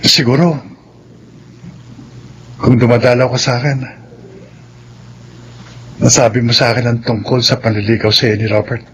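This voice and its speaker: A man's voice: medium pitch, steady tone, normal speed, pauses often, natural voice, poor audio. Man acting earnest Filipino ex-convict over background noise. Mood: remorseful. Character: earnest, remorseful ex-convict older brother, gentle and sincere. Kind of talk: Filipino radio drama acting.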